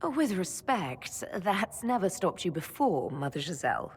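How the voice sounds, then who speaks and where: A woman playing a composed, diplomatic, gently wry leader in a fantasy video game, calm and direct in speech. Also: lowish voice, unhurried, husky, in a large hall, exaggerated voice.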